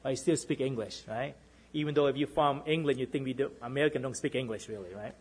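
A man with a Boston accent, preaching to a congregation as minister, often checking in with his listeners and dropping into hushed repeats for emphasis. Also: medium pitch, quick pace, slightly rough. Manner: keeps checking in with listeners, asking "right?". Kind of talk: sermon to a congregation.

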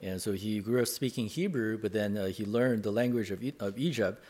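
A man with a fairly low voice interprets a sermon phrase by phrase. His words come out quickly in bursts, yet he halts and repeats himself while searching for the right phrasing. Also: a little mellow.

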